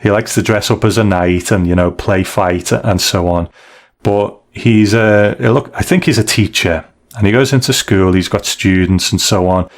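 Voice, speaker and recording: A man's voice: lowish voice, moderate pace. A British man in a casual podcast chat, giving candid, conversational reviews of stories as a co-host. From United Kingdom.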